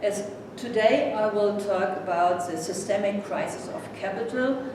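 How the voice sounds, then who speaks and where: A woman in her sixties with a German accent, a native German speaker, giving a seminar lecture as a guest professor in a halting, courteous, self-deprecating way. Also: mid-range voice. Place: indoor seminar room. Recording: echoey room from far off, noisy.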